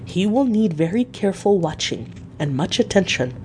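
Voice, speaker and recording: A narrator as a grave, measured old professor. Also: mid-range voice, animated, a little hiss or hum, lightly acted. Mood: quiet, measured. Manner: quiet, measured, formal. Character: grave, learned elderly male professor of medicine.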